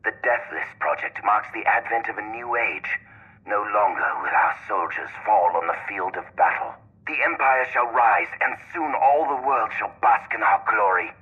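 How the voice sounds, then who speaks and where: An actor playing a proud, ambitious imperial scientist dictating a formal research log in a video game, on a muffled recording. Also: male voice, on the low side, expressive, normal speed, slightly rough. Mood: grandiose. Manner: formal, grandiose.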